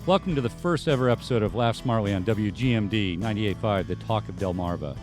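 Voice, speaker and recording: Low-voiced man hosting with a music bed. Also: fast talker. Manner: rambling, many fillers and restarts. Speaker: host. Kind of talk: casual radio talk show chat. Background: faint band music.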